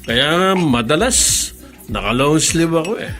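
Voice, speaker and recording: A man playing a flirtatious, pushy restaurant guest in a radio drama, teasing, insistent and quick to get irritated. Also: fairly high pitch, lively intonation. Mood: explaining, self-conscious. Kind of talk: Filipino radio drama acting. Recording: loud music behind the voice.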